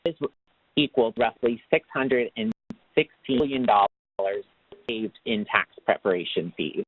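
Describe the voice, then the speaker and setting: A man presenting slides in a webinar, in a formal read-out way that turns halting off script, heard over a phone line. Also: lowish voice, lively intonation, dry recording. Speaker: webinar presenter, tax assistance program manager. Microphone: phone or conference line. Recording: tinny, narrow phone line.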